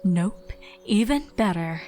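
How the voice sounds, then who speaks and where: A woman's voice: mid-range voice, lively intonation, takes it slowly, warm tone, soft background music. Woman playing a playful, teasing pony mare. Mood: tender.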